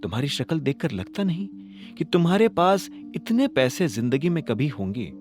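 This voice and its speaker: A male narrator voicing a sharp-tongued young woman who teases and scorns a rival, in a storybook audio drama. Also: fairly high pitch, sing-song, moderate pace, faint music behind. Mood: mocking. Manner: teasing, scornful.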